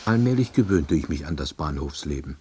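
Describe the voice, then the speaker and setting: An actor playing a lonely, melancholy laid-off East German husband, narrating his own story in a terse, deadpan diary manner. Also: very deep voice, fairly quick, slightly rough, full character voice. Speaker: narrator and lead actor of a radio drama. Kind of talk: scripted first-person narration.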